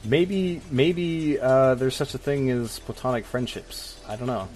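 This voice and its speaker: A man with an average-pitched voice co-hosts a casual comedy advice show over music. He talks in a wry, hedging way and slips in joking asides. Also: unhurried, a little mellow. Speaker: podcast co-host.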